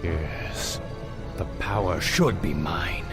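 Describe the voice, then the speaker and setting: A man acting a brooding, power-hungry demon hunter in a video game, with a very deep, gravelly, slow and menacing voice. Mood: dark ambition. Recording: loud ominous music over voice.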